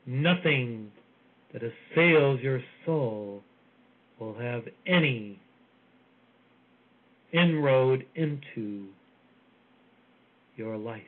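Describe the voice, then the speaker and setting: A man delivering a channeled spiritual message in a soft, solemn, measured way with long pauses. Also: medium pitch. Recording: phone-like audio with faint hiss.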